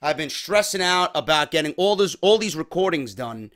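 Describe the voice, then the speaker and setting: A man's voice: on the high side, normal speed. Chatty young man, slightly worn voice. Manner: energetic, chatty, peppers speech with "man". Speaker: podcast host. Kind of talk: casual wrestling talk. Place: small home room. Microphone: desk mic on boom arm.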